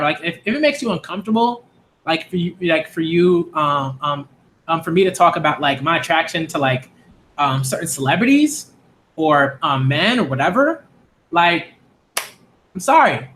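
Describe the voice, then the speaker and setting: A man's voice: fairly high pitch, very animated, moderate pace, fairly bright tone, slight background noise. A man in his late twenties, a channel host talking straight to his viewers in an emphatic, repetitive, exasperated way. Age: 20s. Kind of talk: direct-to-camera rant.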